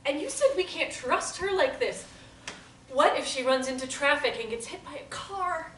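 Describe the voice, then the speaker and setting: A high, sharp, animated actress's voice playing a headstrong, worried female patient in a stage drama. She pushes her point argumentatively. The room echoes around her. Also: moderate pace. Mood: worried, insistent. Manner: insistent, argumentative. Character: headstrong, worried, guilt-ridden young female therapy patient. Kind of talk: dramatic table reading of a play. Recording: echoey room, distant mic, noise.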